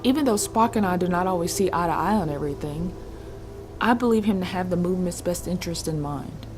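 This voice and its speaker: A woman playing a thoughtful, questioning Romulan woman, speaking in a measured, deliberate way in a sci-fi audio drama. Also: lowish voice, music in the background, close to an everyday voice. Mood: earnest, reasoning.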